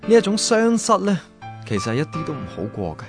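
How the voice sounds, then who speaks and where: A man's voice: high-pitched, wildly swooping pitch, fairly quick, faint music behind. An actor playing a hapless, wryly plaintive young man who narrates his diary in a radio drama. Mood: dejected.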